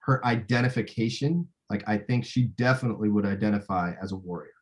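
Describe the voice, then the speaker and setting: A man speaking as a film director in a casual interview, thinking aloud in a halting way. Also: on the low side.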